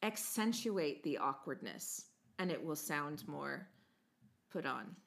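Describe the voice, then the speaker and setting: A woman's voice: low-pitched, big pitch swings, slow, gravelly. An American woman co-hosting a podcast, chatting casually, laughing often and slipping into playful mock accents. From United States. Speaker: podcast co-host.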